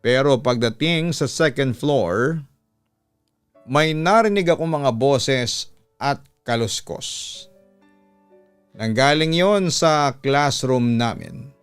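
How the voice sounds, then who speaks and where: Male Filipino radio storyteller for scripted narration; slightly low, resonant voice. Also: lively intonation, slow pace, long pauses, smooth, no echo. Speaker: radio host reading listener letters. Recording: music behind the voice. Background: background music.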